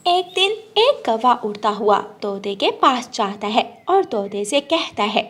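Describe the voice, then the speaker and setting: Casting: female narrator for children's animated tales, high voice, animated intonation, scripted delivery. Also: slow. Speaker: storyteller, narrator.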